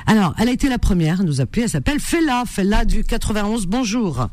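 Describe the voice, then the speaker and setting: A woman's voice: medium pitch, animated, fast talker. A woman from Algeria hosting a radio classified-ads show, chatting with lots of fillers and repetitions. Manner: warm chatty delivery with many fillers and repetitions. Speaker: radio host.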